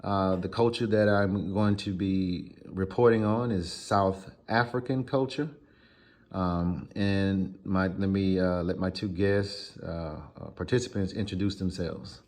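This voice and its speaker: Low-voiced, hesitant male interviewer. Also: fairly even intonation, measured pace, a little mellow. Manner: hesitant, many fillers and restarts. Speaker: interviewer, student.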